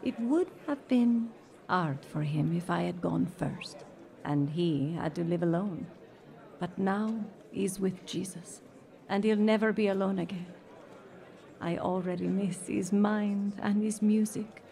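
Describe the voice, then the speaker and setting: A gentle older mother in a radio drama. Her voice is soft-edged and mellow with a gravelly creak, and she speaks with tender, reflective faith. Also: mid-range voice, unhurried. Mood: tender grief.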